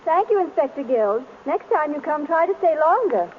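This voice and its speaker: An actress playing a spirited, teasing detective's wife with a playful, arch manner, in 1930s radio drama style. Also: female voice, sits high, sing-song, moderate pace. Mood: bright, playful. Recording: noisy muffled vintage radio recording.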